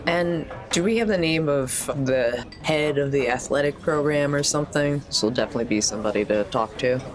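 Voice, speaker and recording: A man's voice: a little high, a little fast, slight background noise. A man playing a tabletop roleplaying game, casually asking questions about the scenario.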